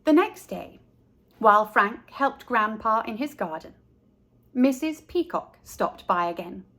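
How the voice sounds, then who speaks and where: A woman's voice: a little high, animated, a little slow, a bit bright. A woman narrating a children's story, reading aloud expressively as a storytime narrator.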